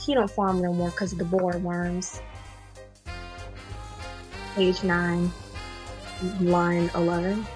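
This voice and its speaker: Woman as folksy farm kid in a radio play, bit low voiced, faint music. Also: level tone, takes it slowly, pauses often, darkish tone. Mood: plaintive. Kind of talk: old-time radio play acting.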